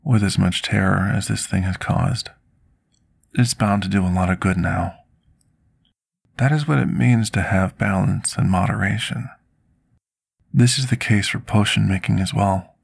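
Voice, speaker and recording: A man playing a scarred, remorseful potion master in an intimate ASMR roleplay, speaking formally and deliberately like someone confessing his past. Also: fairly low pitch, gravelly, slightly rough, no echo, exaggerated voice. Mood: earnest, reflective. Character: scarred male potion master, devoted, remorseful, soft-spoken servant.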